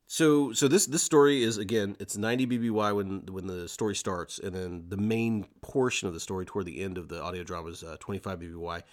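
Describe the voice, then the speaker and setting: Male podcast host, lowish voice, excitable casual chatter with emphatic repetition. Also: fast, hardly any pauses. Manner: excitable, repeats words emphatically. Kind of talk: casual chat on a fan podcast.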